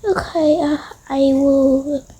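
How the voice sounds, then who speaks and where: A young-sounding female creator softly narrating an improvised toy-game roleplay, often dropping into whispers. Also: sits high, steady tone, slow pace.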